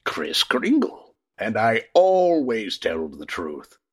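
An actor playing a kindly, sincere old Santa Claus in a radio play, gentle and courteous, with a husky voice. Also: male voice, fairly low pitch, wildly swooping pitch, slow, exaggerated voice. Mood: kindly, earnest. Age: older. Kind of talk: comedic radio play acting.

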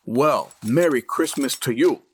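An actress playing a gruff, sarcastic mail carrier in a comedic radio play, curt and sardonic. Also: female voice, extremely low pitch, unhurried, background noise.

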